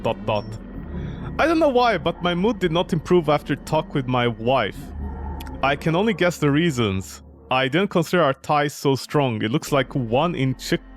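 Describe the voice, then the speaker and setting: A man with a high voice delivers game commentary over faint music. His pitch swings widely as he reads story text aloud and picks it apart. His manner is mocking and incredulous. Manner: incredulous, animated, mocking reactions. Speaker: video game streamer. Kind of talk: gameplay commentary, reading visual novel text aloud and reacting.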